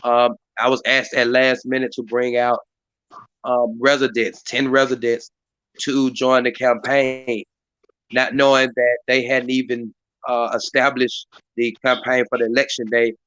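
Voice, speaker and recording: A hoarse man hosts a community live stream in a rambling way, full of fillers and restarts. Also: mid-range voice, little pitch movement, measured pace, fairly bright tone, no echo, dull audio. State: hoarse, voice partly lost. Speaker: community activist hosting a live stream meeting. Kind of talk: casual hosted talk. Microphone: live stream mic.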